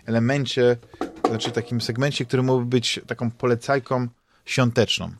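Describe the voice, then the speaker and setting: A man's voice: mid-range voice, little pitch movement, moderate pace, background noise. A man with a Polish accent hosting a podcast in casual chat, rambling and hesitant, often repeating words as he searches for phrasing. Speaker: podcast host. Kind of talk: casual chat about games and comics.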